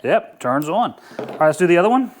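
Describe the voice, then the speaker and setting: A man with a somewhat higher-pitched voice gives a casual product review. He talks in an informal, easygoing way, thinking aloud as he handles an unboxing. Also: sing-song, fairly quick, slight background noise.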